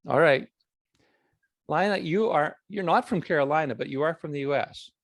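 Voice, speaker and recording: A man's voice: fairly high pitch, normal speed, creaky, no room sound. Chill guy hosting a video call, asks open questions, dry clean audio. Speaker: host. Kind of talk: casual chat.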